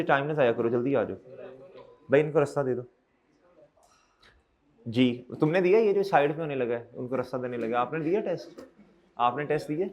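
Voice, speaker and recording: A man, lecturing accounting students in a hall and loudly teasing and scolding them. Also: medium pitch, lo-fi sound. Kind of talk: lively classroom lecture.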